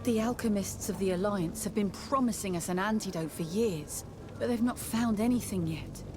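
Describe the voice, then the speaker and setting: A woman's voice: mid-range voice, normal speed, background noise, close to an everyday voice. An actress playing a troubled, resigned townswoman in a video game, with a grim, complaining manner. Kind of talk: scripted video game acting.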